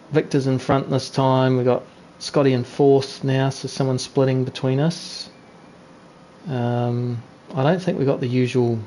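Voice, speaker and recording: A man's voice: mid-range voice, mellow, a little hiss or hum, poor audio. Laid-back New man chatting. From New Zealand. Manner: chatty, laid-back, teasing other drivers. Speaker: streamer racing online. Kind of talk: casual live-stream commentary and chat. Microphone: gaming headset.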